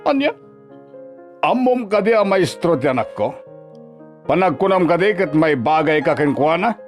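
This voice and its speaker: Man acting a high-pitched, domineering Filipino father-in-law. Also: expressive, normal speed, soft background music, close to an everyday voice. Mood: scornful. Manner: scolding, contemptuous, badgering. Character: domineering, scornful older father-in-law, quick to scold. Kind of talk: Ilocano radio drama acting.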